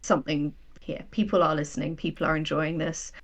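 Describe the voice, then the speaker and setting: Woman writer chatting as a guest, lowish voice, wry and self-mocking, really emphatic storytelling. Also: little pitch movement, fairly quick. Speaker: guest, writer of audio drama. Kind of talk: casual interview chat, personal anecdotes.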